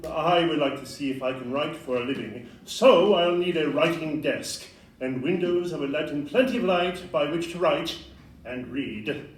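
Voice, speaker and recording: A man's voice: fairly high pitch, normal speed, natural voice. A man acting a frail, courteous Victorian doctor with formal, wordy phrasing in a live audio play. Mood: earnest, polite. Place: live performance in a club room. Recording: distant roomy live stage recording.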